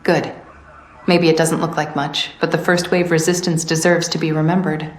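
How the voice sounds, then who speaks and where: Actress doing a tough tired rebel veteran lady from a video game, low voice, plain talker, bit of room echo. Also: level tone, normal speed, close to an everyday voice. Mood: firm, matter-of-fact. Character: weary, tough resistance veteran woman handing out missions. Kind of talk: video game character dialogue.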